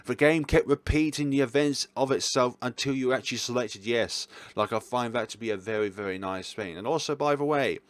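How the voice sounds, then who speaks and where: A man's voice: mid-range voice, a little fast, hardly any pauses. A man giving casual ranking commentary as a video host, rambling and often correcting himself as he goes. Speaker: video host reviewing games.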